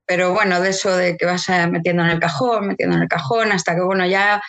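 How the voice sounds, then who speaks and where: Unhurried chatty woman from Madrid. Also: fairly low pitch, fairly even intonation, a bit bright. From Madrid, Spain. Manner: unhurried, chatty, many fillers and restarts. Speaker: guest poet and writer. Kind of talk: radio interview. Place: radio studio.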